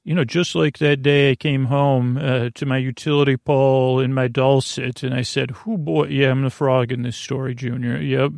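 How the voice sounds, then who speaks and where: A man playing a mild, put-upon frog father in a bedtime story, with a creaky voice and a halting, grumbling, meandering delivery. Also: medium pitch, flat delivery, darkish tone, dry recording, clean studio sound, close to an everyday voice. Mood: weary recounting.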